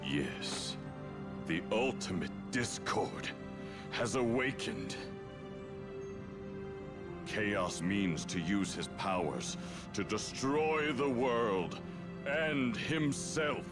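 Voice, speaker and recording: A sinister male spirit of chaos from a video game. His low voice stretches each phrase very slowly, gloating with theatrical menace. Music plays prominently behind him. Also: expressive. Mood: exultant, ominous. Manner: theatrical, drawn-out, ominous. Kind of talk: dramatic video game cutscene acting. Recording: loud background music.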